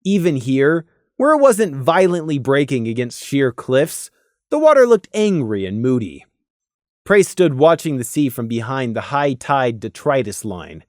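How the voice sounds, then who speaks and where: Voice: mid-range voice, big pitch swings, measured pace, dry recording, clean studio sound. A narrator reading a fantasy story aloud in a scripted audiobook style.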